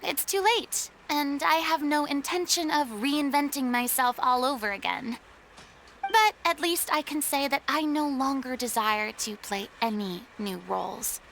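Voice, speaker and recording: An actress playing a proud, theatrical young former star in a video game, with a haughty, dramatic and formal delivery. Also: female voice, high-pitched, measured pace, fairly bright tone, a little hiss or hum. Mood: resigned, firm. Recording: background music under the voice.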